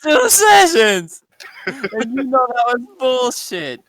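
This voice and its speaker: A man playing a tabletop role-playing game, bantering casually in an animated, argumentative way. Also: very high voice, takes it slowly, no echo. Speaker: player, ship's pilot.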